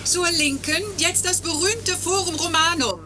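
An actress playing a brisk, officious coach tour guide making announcements in a satirical radio play. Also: female voice, extremely high pitch, bright, forceful tone, a little hiss or hum, full character voice. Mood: chirpy, official.

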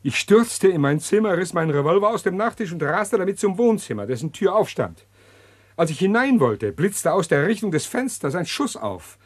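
An actor playing a scheming, self-pitying husband who recounts his crime in a German radio play, deliberate and theatrical. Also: sits high, big pitch swings. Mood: earnest, agitated.

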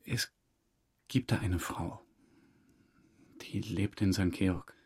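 An actor playing an evasive, embarrassed suspect in a radio drama, speaking haltingly and defensively in a husky voice. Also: male voice, deep voice, a little mellow, heavily acted. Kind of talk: radio drama interrogation dialogue.

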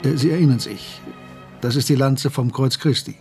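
An actor playing a reflective, betrayed older man in a radio drama, telling his story in a measured, literary way. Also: medium pitch, darkish tone, soft background music, natural voice. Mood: solemn, rapt. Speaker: narrator and lead character. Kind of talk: German radio drama monologue and dialogue.

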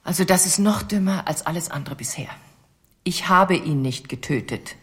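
An actress playing a weary, betrayed wife in a radio play, halting and confessional, with a husky, breathy voice. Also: female voice, lowish voice, lively intonation, fairly bright tone. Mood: indignant. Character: weary betrayed wife of a ventriloquist, resigned and bitter.

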